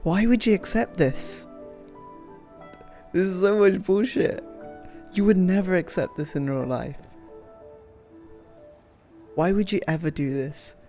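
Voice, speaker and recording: A man's voice: high-pitched, a little slow, long pauses, dark tone. A man giving blunt, slangy, casual reaction commentary while streaming a video game. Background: video game audio and music.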